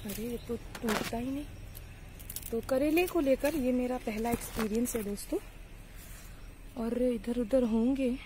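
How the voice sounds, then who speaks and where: Young woman doing a garden tour vlog, mellow higher voice, chatty, phone mic outdoors, faint background hiss. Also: low-quality recording. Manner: chatty, addresses viewers as friends, many fillers. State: hot and bothered by sun and mosquitoes. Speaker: vlogger. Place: outdoor vegetable garden in bright sun. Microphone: handheld phone camera.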